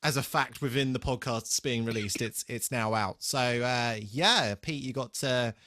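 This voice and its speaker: A man co-hosting a podcast in casual banter, halting and correcting himself as he thinks aloud. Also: medium pitch, sharp tone, no echo. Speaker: podcast host. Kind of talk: casual banter between co-hosts.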